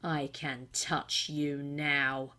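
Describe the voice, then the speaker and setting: A woman playing a gloating male dark wizard in a film monologue, slow, hushed and theatrical. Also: deep voice, flat delivery, pitched below the performer's own voice, heavily acted. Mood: gloating menace.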